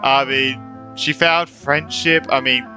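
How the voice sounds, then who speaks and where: A woman's voice: a bass voice, fairly even intonation, takes it slowly, sharp tone. A woman streamer giving casual gameplay reactions in musing, hesitant asides. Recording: game music behind the voice.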